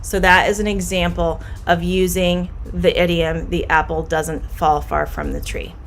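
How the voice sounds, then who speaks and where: A middle-aged woman with a Midwestern American accent, teaching English idioms on a live stream, friendly and repeating phrases slowly. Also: lowish voice, level tone, faint music behind.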